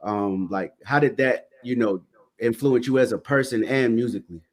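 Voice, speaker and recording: A man hosting a podcast in a laid-back way, asking questions and warmly affirming his guest. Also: mid-range voice, moderate pace. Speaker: podcast host. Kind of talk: casual interview hosting.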